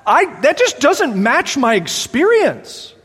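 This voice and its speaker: A man preaching a sermon as pastor, animated and exclaiming, voicing his listeners' doubts aloud, in a large church sanctuary. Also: extremely high pitch, slow. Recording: long hall reverb.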